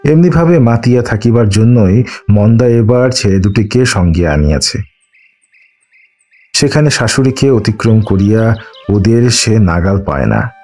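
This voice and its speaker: A man's voice: on the low side, animated, unhurried, darkish tone. A man reading a literary story aloud as a scripted audiobook narration. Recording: music under the voice. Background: background music.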